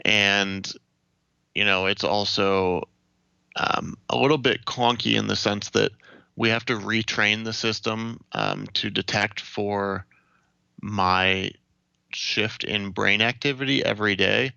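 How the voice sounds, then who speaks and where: A young American man from Ohio giving measured, explanatory answers as an interview guest. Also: fairly low pitch, steady tone, vocal fry, sharp tone, clear, resonant tone, dry recording, highs cut off. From Ohio, United States. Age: young adult.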